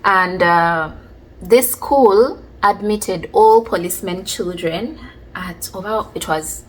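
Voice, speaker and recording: A woman, casually telling stories from her childhood in a chatty, relaxed way. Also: medium pitch, slow, poor audio. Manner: chatty, relaxed, many fillers like "like" and "you know". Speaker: vlogger. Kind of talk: casual storytelling about childhood.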